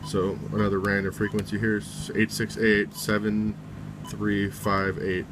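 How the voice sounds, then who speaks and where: A man's voice: lowish voice, completely flat delivery, measured pace, noisy background. A man giving a casual, step-by-step how-to in an informal way, often reiterating each step. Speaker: tutorial presenter.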